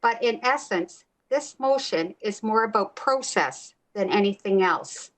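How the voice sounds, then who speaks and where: A woman's voice: medium pitch, animated, measured pace, low-quality recording. A woman giving a formal, prepared speech as a city councillor.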